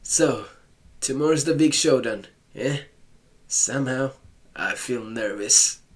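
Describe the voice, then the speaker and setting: A man's voice: mid-range voice, takes it slowly. Joking man riffing on a game. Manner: sarcastic, joking asides to the game. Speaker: let's-play gamer. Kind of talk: casual game commentary.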